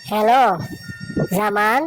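A woman's voice: medium pitch, steady tone, a little slow, a little hiss or hum, close to an everyday voice, low-quality recording. A woman playing a concerned village woman in a cartoon moral story, speaking colloquially and matter-of-factly.

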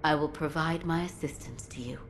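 Game doctor lady, deep slow voice, calm and formal, soft-spoken, noisy ambience. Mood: composed. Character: composed, gentle female physician, quietly wry and formal. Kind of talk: video game voice acting.

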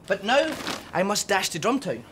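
High-pitched, theatrical young man. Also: sing-song, normal speed, noisy background. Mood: flustered, affectionate. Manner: flamboyant, melodramatic. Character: theatrical, excitable, affectionate amateur panto actor, young man. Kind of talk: children's TV acting.

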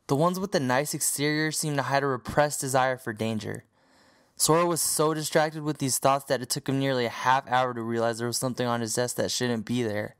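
A man's voice: medium pitch, fairly even intonation, fairly quick, clean tone. A man reading scripted story narration as the narrator of an audio drama.

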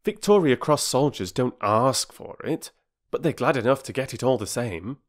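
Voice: medium pitch, lively intonation, normal speed, voice pushed up, natural voice. A narrator voicing a blunt, cocky young boy in a children's storybook. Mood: blunt, proud.

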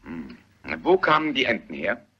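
An actor playing a formal, probing police inspector in a German radio play, with a husky, forceful voice. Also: male voice, medium pitch, huge pitch swings. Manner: inquisitive, formal, brisk. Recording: dull, muffled radio play recording.

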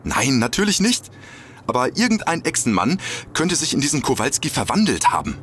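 An actor playing an eager, gullible amateur detective in a comedic audio drama, earnest, excitable and a bit hesitant. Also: male voice, mid-range voice, brisk, a little hiss or hum. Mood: earnest, conspiratorial. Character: eager, gullible male amateur detective obsessed with lizard people.

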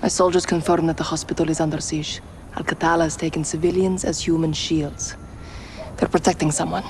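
An actress playing a grave, principled young rebel commander in a game, with a Middle Eastern accent and measured, firm delivery. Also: female voice, deep voice, flat delivery, slight background noise. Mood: grave, resolute. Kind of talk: cinematic game acting.

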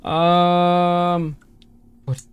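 A young man plays a horror game into a headset mic in a dry, close small room. His voice sits a bit high and he talks slowly, spilling jumpy, nervous remarks at his viewers. His throat sounds a little strained. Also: completely flat delivery, smooth, no room sound. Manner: jumpy, nervous exclamations, keeps calling viewers bro. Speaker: gaming streamer. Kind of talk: horror game playthrough commentary.

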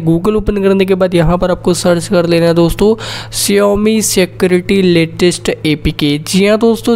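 Indian tech tutorial host, mid pitch. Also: a little mellow. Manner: repetitive verbal address to viewers as friends. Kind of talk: step-by-step screen-recording tutorial narration.

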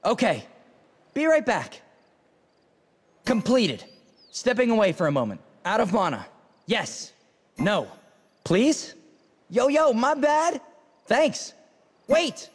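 A playful, cocky young street-artist fighter from a video game. His male voice sits extremely high and delivers brash, teasing callouts at an unhurried pace, with a long reverb around it. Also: wildly swooping pitch, husky, full character voice. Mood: brisk, confident. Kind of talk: video game character voice lines, short callouts.

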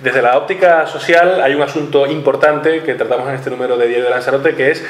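A man, presenting a newspaper's monthly issue in a small studio, talking briskly. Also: medium pitch, slight background noise. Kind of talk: studio news chat. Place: small studio with blue backdrop. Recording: echoey studio room.

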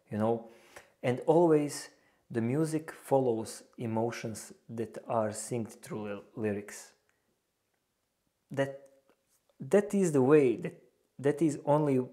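A man's voice: medium pitch, warm tone. A young man with a Bosnian accent hosting a casual reaction video, speaking hesitantly with many fillers and restarts. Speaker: reaction channel host. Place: small indoor room with a lamp.

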